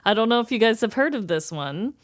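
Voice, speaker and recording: A woman's voice: on the high side, lively intonation, brisk, no room sound, clean studio sound. A woman co-hosting a podcast, chatting casually and reading from prepared notes. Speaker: podcast co-host.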